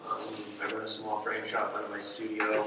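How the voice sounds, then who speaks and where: A man's voice: lowish voice, completely flat delivery, fairly quick. A man speaking as a panelist in a plain, hesitant way, with many ums and uhs. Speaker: artist and frame shop owner, panelist. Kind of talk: casual panel talk. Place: large event hall. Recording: echoey room from far off, background noise.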